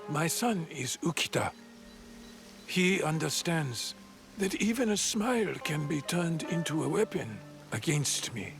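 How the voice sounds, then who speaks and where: A guarded noble father speaks with gravelly weight and slow, deliberate pacing. His pitch swings widely while faint music plays beneath. Curt and commanding. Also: sing-song, full character voice. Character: stern guarded noble father, middle-aged lord. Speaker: feudal lord. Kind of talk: video game cutscene acting.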